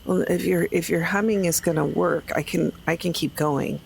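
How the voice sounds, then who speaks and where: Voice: medium pitch, steady tone, normal speed, creaky. An adult player speaking casually in character during a tabletop roleplaying game. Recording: faint background ambient noise.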